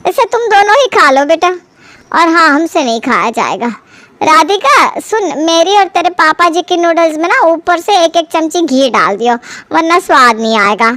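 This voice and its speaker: A fussy older mother-in-law, acted by a woman in a cartoon family story. Her high voice nags and whines, stretching out each complaint in a close, dry recording. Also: animated, pushed and bright, dry recording, exaggerated voice. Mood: demanding. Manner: whining, scolding, drawn-out complaints. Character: older mother-in-law, nagging, fussy lover of rich ghee food.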